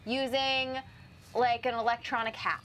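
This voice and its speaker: A woman's voice: on the high side, little pitch movement, unhurried, fairly bright tone, a little hiss or hum. A woman in her twenties co-hosting a game livestream, chatty and easygoing, laughing along and reading out viewer comments. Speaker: co-host reading chat. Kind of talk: casual let's-play chat.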